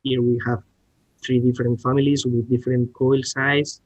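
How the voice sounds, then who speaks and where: A man with a Spanish accent giving a halting technical explanation as a guest expert, often restarting his words. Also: medium pitch, little pitch movement, darkish tone, dry recording, lo-fi sound. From Spain. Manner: halting, searching for words with repeated starts. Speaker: R&D director, podcast guest. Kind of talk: technical presentation and discussion.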